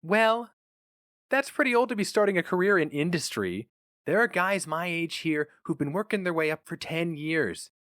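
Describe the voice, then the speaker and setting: A man's voice: a little high, lively intonation, moderate pace, a bit bright, no echo, studio quality, pitched above the performer's own voice. A man voicing an eager, stubborn young husband who speaks earnestly and insistently.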